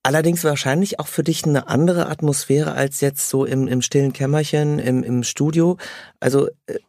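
A man's voice: mid-range voice, fairly even intonation, moderate pace, darkish tone, no echo, studio quality. A man hosting an interview in a casual, conversational way. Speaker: interviewer, host.

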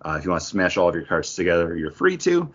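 Male podcast host, lowish voice with very animated intonation, casual chat, somewhat muffled recording. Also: fast, breathy edge.

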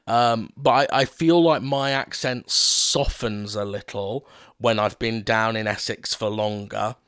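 A man's voice: lowish voice, fairly even intonation, measured pace, a bit bright, smooth, no echo, studio quality. A man hosting a casual podcast chat, passing on facts he has heard as he talks. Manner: chatty, leans on "apparently", passes on facts he has heard. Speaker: podcast host.

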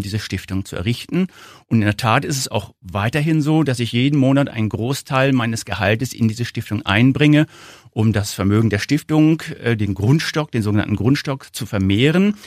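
A man in his fifties with a North German accent, answering interview questions in a measured, explanatory way. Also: medium pitch, no room sound, studio quality. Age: 50s. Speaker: interview guest, foundation founder.

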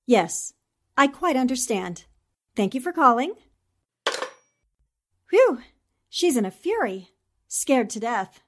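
A woman's voice: fairly high pitch, big pitch swings, takes it slowly, pauses often, full character voice. A woman playing a shrewd, confident newspaper reporter with poised, theatrical delivery in a 1940s-style radio drama. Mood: brisk, wry. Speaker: voice actor.

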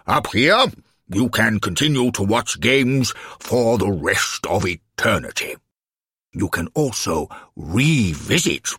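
Pompous storybook alderman, acted by a man: blustering, self-important, hugely animated swoops over slow, stately delivery. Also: lowish voice, breathy edge, dry recording, studio quality, voice pushed up, heavily acted. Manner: pontificating, self-important, indignant. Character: pompous, blustering male alderman and football chairman.

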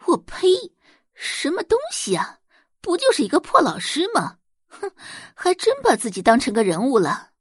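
A woman voicing a haughty, spiteful middle-aged rich wife and mother in an audio drama, shrill, indignant and sneering. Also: wildly swooping pitch, moderate pace, no echo, studio quality. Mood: contemptuous.

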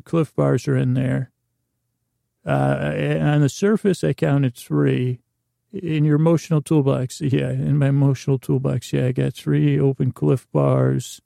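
A man hosting a podcast with a rambling, comedic bedtime monologue, meandering and halting as he restarts sentences and voices imagined dialogue, in a gravelly voice. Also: medium pitch, mellow, no echo, clean studio sound. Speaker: podcast host.

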